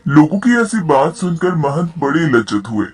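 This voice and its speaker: A man's voice: fairly high pitch, big pitch swings, moderate pace, husky. A man narrating a storybook tale from a script, as the narrator of the story.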